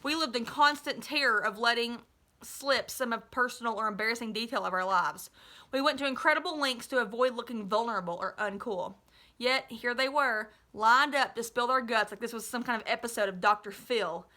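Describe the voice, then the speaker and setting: Bright, higher-pitched woman reading aloud. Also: fairly quick. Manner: dramatic delivery, slips and corrects herself with asides. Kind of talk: expressive read-aloud of a children's novel. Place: small living room at home, indoors.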